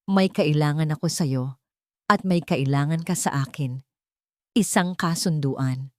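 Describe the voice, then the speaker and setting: Woman acting brooding wealthy Filipino man, cold, blunt, lowish pitch, dry audio storybook. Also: unhurried, dry recording. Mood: grave, direct. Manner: blunt, businesslike, emotionless.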